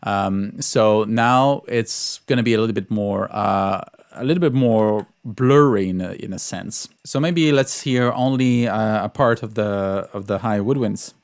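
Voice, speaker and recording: A man giving an informal music tutorial as a composer, speaking in halting, repeated phrases. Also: fairly low pitch, clean tone. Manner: sings out rhythms in syllables. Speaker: composer teaching orchestration.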